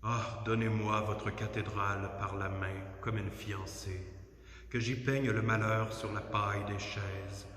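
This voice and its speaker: A man with a fairly low voice recites poetry in a solemn, declamatory way. His phrasing is measured and his intonation stays fairly even. The sound is that of a church, with strong echo and a long reverberant tail. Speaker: poetry reader. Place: inside a church at night.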